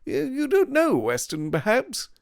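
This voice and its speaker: A man's voice: high voice, huge pitch swings, measured pace, dry recording, clean studio sound, voice pushed up, exaggerated voice. A man reading an audiobook, voicing Devine, a slender, glib and mocking male schoolfellow with an arch, sardonic way of talking. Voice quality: flippant, arch, sardonic banter.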